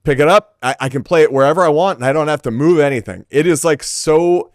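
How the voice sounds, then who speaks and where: A man's voice: fairly high pitch, quick pace, dry recording, clean studio sound. A man co-hosting a casual gaming podcast, speaking informally and emphatically with plenty of slang. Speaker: podcast co-host.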